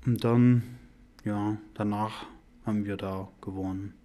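Male interviewee, twenties, Afghan accent, non-native, lowish voice, very slow halting delivery. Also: steady tone, warm tone. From Afghanistan and Iran. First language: not German. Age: 20s. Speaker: podcast interviewee. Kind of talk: conversational personal account.

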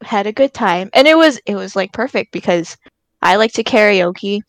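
A woman's voice: mid-range voice, lively intonation, normal speed, no echo. A woman in her early twenties in casual chat, giggly and telling stories with quoted asides.

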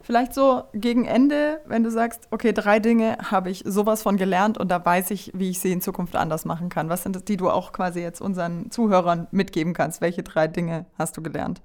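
A woman's voice: on the low side, fairly even intonation, brisk, hardly any pauses, darkish tone. Woman hosting a casual style podcast chat. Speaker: podcast host.

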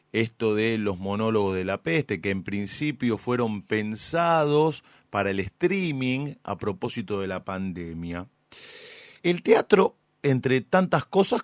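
An Argentine man presents a radio interview in a slightly low voice, recorded close and dry. He phrases his introductions and questions deliberately, with a formal, admiring manner. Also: dry recording. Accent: Argentine Spanish.

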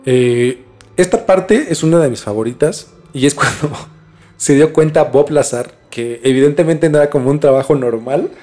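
A man's voice: on the high side, moderate pace, mellow. Mexican man chatting over music. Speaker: podcast host. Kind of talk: casual storytelling chat. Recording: music bed behind the talk.

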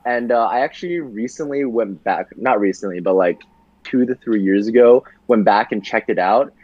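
A young man, a podcast guest, talking casually about his childhood, relaxed and full of fillers, laughing easily. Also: on the low side, normal speed, no echo, muffled recording. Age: young adult. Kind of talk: casual conversational storytelling.

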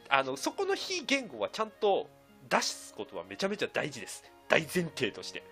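A man's voice: on the high side, wildly swooping pitch, moderate pace, fairly bright tone, faint music behind. A man hosting a podcast, explaining and advising in a casual discussion, stressing his points emphatically by repeating them. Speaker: podcast host.

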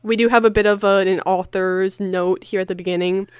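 A woman's voice: mid-range voice, fairly even intonation, fairly quick, clean tone, no room sound, clean studio sound. A woman hosting a casual group podcast, talking in an informal, sweary, giggly way. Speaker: podcast host.

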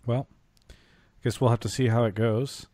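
A man with a medium-pitched voice hosts a call-in show. He speaks in a casual, bantering way, and the recording is dry with little room sound. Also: level tone, normal speed, mellow, no room sound. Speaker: host of an esports call-in show.

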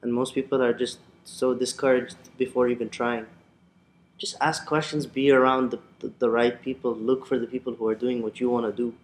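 A man from Yemen, a photographer, talking casually as a guest on a podcast, relaxed and full of slang. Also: mid-range voice, fairly quick, reverberant, slight background noise, rough recording. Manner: relaxed, chatty, peppered with slang.

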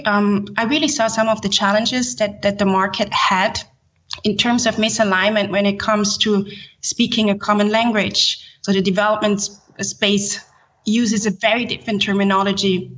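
A woman from Haiti who grew up in Switzerland, explaining her work as a podcast guest in measured, formal, corporate phrasing. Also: medium pitch, a bit bright, rough recording. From born in Haiti, grew up in Switzerland. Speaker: podcast guest, ESG executive. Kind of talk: explanatory interview talk in professional terms.

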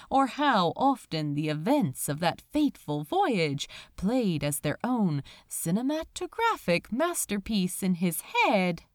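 A woman reading fiction aloud in an expressive, audiobook-style narration. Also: medium pitch, no room sound, clean studio sound. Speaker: fan fiction reader.

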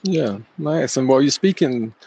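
Man, podcast interviewer, creaky mid-range voice, dry recording, casual, loosely reworded questions. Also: medium pitch, animated, normal speed, mellow, no echo. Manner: loose, rephrasing questions as he goes.

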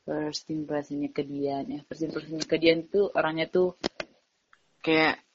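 A woman's voice: sits low, level tone, unhurried, highs cut off, a little hiss or hum. A young woman, a podcast guest, chatting casually, telling stories animatedly and giggling often. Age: young adult. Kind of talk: casual chat.

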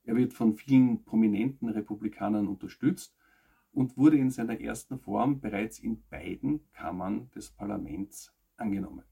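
A man with a low, gravelly voice narrates scripted news. He speaks as a presenter in measured, emphatic phrases, with a husky edge and endings that drop off. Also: dark tone.

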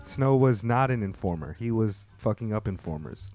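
A man's voice: fairly low pitch, lively intonation, normal speed, darkish tone, soft background music. Slangy, joking podcast host, a man. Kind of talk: casual interview chat.

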